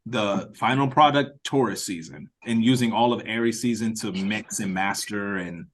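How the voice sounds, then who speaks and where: A man leading an online meeting in casual chat, speaking informally and haltingly as he thinks aloud. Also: on the low side, slight background noise. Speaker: writer-director leading the meeting. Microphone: video call.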